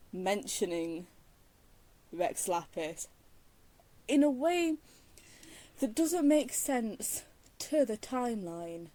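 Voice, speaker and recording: A woman's voice: medium pitch, dark tone, poor audio. A woman giving casual, rambling playthrough commentary in a teasing, exasperated, giggly manner. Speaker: streamer playing a story game.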